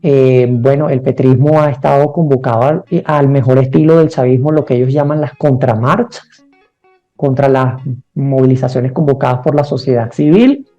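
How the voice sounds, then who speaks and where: A man's voice: mid-range voice, moderate pace, dark tone, dull audio, faint music behind. A man, a journalist guest on an interview podcast, speaking earnestly and urgently. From Venezuela. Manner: earnest, urgent, politically outspoken.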